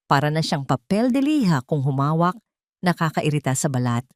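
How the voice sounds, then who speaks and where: A woman's voice: sits low, very animated, normal speed, a little mellow, dry recording. A woman voicing a cold, contemptuous cheating husband in an audio melodrama, sneering and belittling. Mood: scornful.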